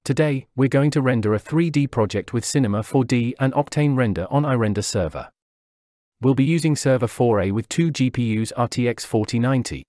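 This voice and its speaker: A man narrating a scripted software tutorial, walking through each step in a steady, instructional way. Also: medium pitch, fairly quick, no echo, clean studio sound. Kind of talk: scripted software walkthrough voice-over. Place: voice-over over screen recording.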